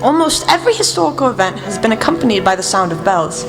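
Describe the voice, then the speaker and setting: A woman playing a brisk, learned, unsentimental lady detective in a radio play, recorded in an echoey hall. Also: mid-range voice, sing-song. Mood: musing. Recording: echoey hall, music clearly behind.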